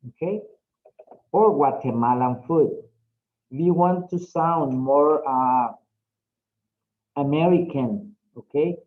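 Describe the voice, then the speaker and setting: Guy teaching english class, super slow, keeps saying okay?, kinda muffled lo-fi sound. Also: a little high. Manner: slow, drawn-out, frequent "okay?" checks. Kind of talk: online class instruction. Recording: dull lo-fi audio.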